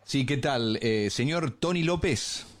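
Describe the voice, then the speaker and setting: A man's voice: medium pitch, animated, slow. A man of about fifty with an Argentine accent, chatting informally and chummily as a live radio host. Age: around 50. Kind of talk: casual live radio chat.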